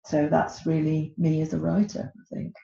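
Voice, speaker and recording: A woman's voice: deep voice, completely flat delivery, normal speed, gravelly, mellow. A middle-aged British woman, an author on a podcast, talking hesitantly with many ums. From Britain. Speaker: author guest. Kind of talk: podcast interview. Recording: echoey, dull lo-fi sound.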